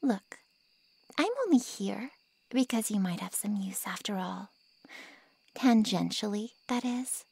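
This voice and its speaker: A woman playing a snide, taunting female monster in an ASMR roleplay, with a sarcastic drawl and a breathy, half-whispered voice. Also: mid-range voice, unhurried, pauses often, dark tone, no room sound. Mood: teasing, sardonic. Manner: sarcastic, insulting, teasing drawl.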